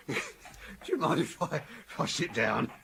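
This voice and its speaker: An actor playing a jovial, drunken British former butler in a radio drama, wordy, mock-courtly and self-pitying. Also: male voice, a little high, big pitch swings, a little slow, creaky, noisy background, heavily acted. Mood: drunk, maudlin.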